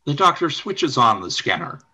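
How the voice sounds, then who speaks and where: A man narrating a scripted radio play as its announcer, in a dramatic, measured storytelling manner. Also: medium pitch, very animated, bright, forceful tone, slightly rough.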